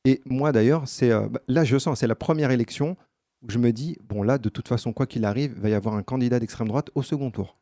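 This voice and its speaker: A man with a Parisian accent in a political debate, speaking haltingly with many fillers. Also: mid-range voice, dark tone, no echo, clean studio sound. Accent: Parisian French. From France.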